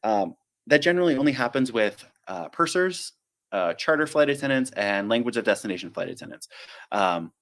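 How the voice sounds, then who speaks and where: A man's voice: mid-range voice, lively intonation, fairly quick, a bit bright. A man, a flight attendant hosting a casual live-stream Q&A, chatty and self-deprecating, drifting into tangents and acting out little quotes.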